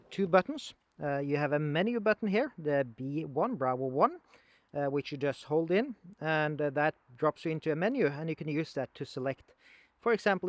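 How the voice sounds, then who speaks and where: A man with a fairly high-pitched voice talks through a piece of hobby equipment. His delivery is casual and unscripted, explaining in a halting, conversational way. The sound is very dry, with no room echo. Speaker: amateur radio hobbyist demonstrating equipment. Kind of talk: casual unscripted video demonstration.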